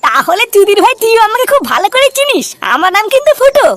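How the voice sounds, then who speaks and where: Man as a squeaky, hot-tempered cartoon father. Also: big pitch swings, fast talker, a bit bright, a little hiss or hum, heavily acted. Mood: furious, taunting. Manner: ranting, taunting, confrontational. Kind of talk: Bengali comedy cartoon dub. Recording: music behind the voice.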